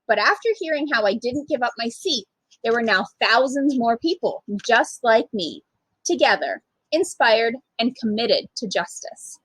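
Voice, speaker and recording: Animated, high-pitched woman reading a storybook. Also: slightly rough, no room sound, rough recording. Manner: emphatic, with dramatic pauses and acted dialogue. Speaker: storytime reader, literacy program manager. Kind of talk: expressive picture-book read-aloud for children.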